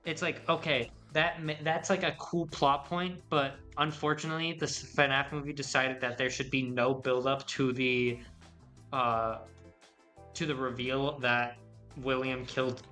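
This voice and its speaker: A young man co-hosting a podcast, talking in a loose, excitable way with lots of restarts. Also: mid-range voice, unhurried, soft background music. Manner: excitable, rambling with many restarts. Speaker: podcast co-host. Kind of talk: casual chat.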